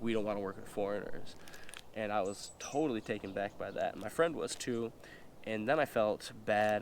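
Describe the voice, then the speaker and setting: A man's voice: fairly low pitch, slight background noise. A young man with a stuffy, nasal voice from a cold, casually telling a story in a vlog, full of fillers and slang. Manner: rambling, filler-heavy, slangy. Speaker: vlogger. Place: indoors, eating while talking.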